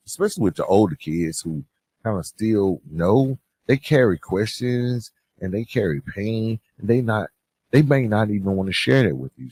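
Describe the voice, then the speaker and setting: A man hosting a solo podcast, giving advice and encouragement in an earnest, deliberate way with emphatic phrasing. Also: medium pitch, a little mellow. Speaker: podcast host.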